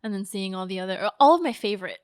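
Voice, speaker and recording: A woman's voice: medium pitch, animated, quick pace, no room sound, clean studio sound. A woman hosting a podcast in a casual, conversational chat about films. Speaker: podcast host. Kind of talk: casual film discussion chat.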